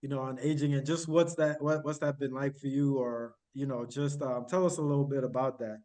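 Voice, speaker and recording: A man's voice: mid-range voice, steady tone, a little fast, dark tone. A man hosting a counseling podcast, asking loose, rambling questions full of fillers. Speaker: podcast host. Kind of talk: casual interview hosting.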